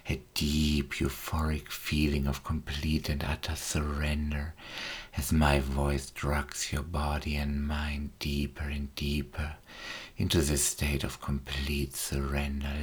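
A man whispering slow, soothing, repetitive suggestions as a hypnotist in an ASMR hypnosis recording. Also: extremely low pitch, completely flat delivery. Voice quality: whispered, breathy.

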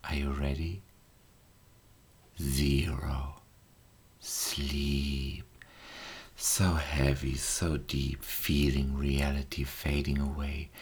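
Male hypnotist, whispered ASMR delivery, very low and flat, unhurried. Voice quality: whispered, breathy. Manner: slow, soothing, repetitive suggestions.

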